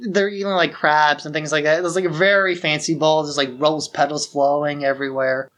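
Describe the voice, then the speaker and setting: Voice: medium pitch, fairly even intonation, fairly quick, a bit bright. A podcast host with a mid-range voice talks casually, walking the listener through a story. The delivery is conversational and explanatory, like recounting it to a friend. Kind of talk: casual film plot recap.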